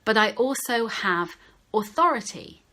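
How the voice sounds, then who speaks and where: A woman with a high-pitched voice giving advice straight to the camera as a speaking coach, talking slowly and stressing key words. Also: lively intonation, sharp tone. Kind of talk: direct-to-camera advice talk.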